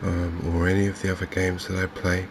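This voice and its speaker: A man with an English accent giving soft-spoken, hesitant, unhurried gameplay commentary in an ASMR style. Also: low voice. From England. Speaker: gaming video host. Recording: clear steady background noise.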